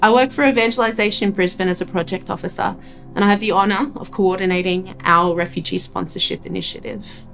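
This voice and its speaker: A woman in her forties, a project officer, clearly and upbeat explaining a program. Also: medium pitch, fairly even intonation, brisk. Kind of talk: explanatory interview. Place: room with a brick wall. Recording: soft music underneath.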